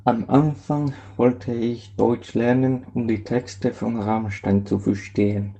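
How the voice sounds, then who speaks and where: A man speaks slowly in a mellow tone. He delivers a prepared statement as a student, in a dull lo-fi recording. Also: mid-range voice, little pitch movement, creaky. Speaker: language student. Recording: dull lo-fi student audio clip.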